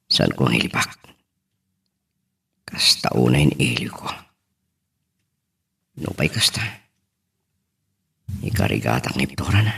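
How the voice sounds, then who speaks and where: A man's voice: a bass voice, vocal fry, a bit bright, husky, heavily acted. A man with an Ilocano accent acting an anxious, brooding husband and father in an audio drama. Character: worried husband and father missing his wife abroad.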